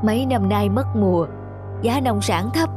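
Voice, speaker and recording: A woman's voice: mid-range voice, moderate pace, lightly acted. A worried ageing father from a cartoon farm tale, played by a woman. The delivery is grave and coaxing, with music playing underneath. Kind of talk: cartoon dubbing.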